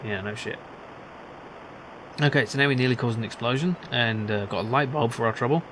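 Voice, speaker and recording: A man chats casually through a video game he is playing. His pitch is average, and he muses aloud with a wry touch. Clear background noise sits behind his voice. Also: brisk. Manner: musing, wry, thinking aloud. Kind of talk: casual let's play commentary.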